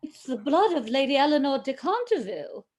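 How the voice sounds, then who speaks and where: A woman's voice: sits high, very animated, fairly quick, darkish tone. A woman playing a superstitious, deferential housekeeper of a haunted manor in a radio play, with an ominous, formal way of speaking. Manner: ominous, dramatic, formal servant address.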